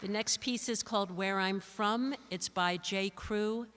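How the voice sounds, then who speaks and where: A woman's voice: medium pitch, steady tone, unhurried, fairly bright tone. Woman hosting in a reverberant hall. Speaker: host of a literary reading event. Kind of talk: introducing readings to an audience.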